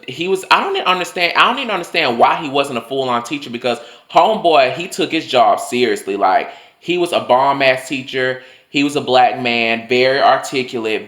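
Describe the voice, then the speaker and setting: Casual young male vlogger, talky and profane, mid-range voice that drifts up and down; roomy echo. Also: fairly bright tone. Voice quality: voice swings higher and lower. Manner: chatty, loose, full of "like" and swearing. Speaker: vlogger telling personal stories. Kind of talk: casual storytelling monologue.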